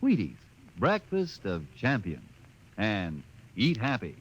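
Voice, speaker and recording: A man's voice: on the low side, wildly swooping pitch, slow pace. A man delivering a 1950s radio commercial in an upbeat, salesy way. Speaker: sponsor announcer. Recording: dull lo-fi radio with loud hiss.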